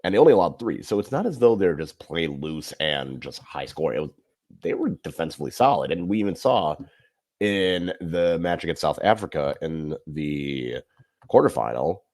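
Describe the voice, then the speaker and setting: A man's voice: a bass voice, very animated, normal speed, a little mellow, no echo, studio quality. A man co-hosting a podcast, casually discussing football in long, loosely built explanations.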